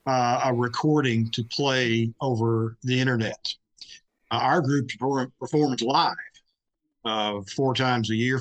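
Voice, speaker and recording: A man's voice: mid-range voice, low-quality recording. A man on a discussion panel explaining things in a plain-spoken, conversational way. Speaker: panelist, live radio recreation group member.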